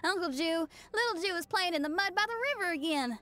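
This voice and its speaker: A woman's voice: very high-pitched, expressive, a little fast, bright, forceful tone, a little hiss or hum, full character voice. A woman playing an upbeat, cheeky young female musician in a video game. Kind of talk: game voice acting. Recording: music behind the voice.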